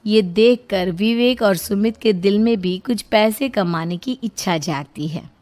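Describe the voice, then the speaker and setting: A woman's voice: mid-range voice, a little slow, darkish tone. A woman reading scripted narration for a moral story.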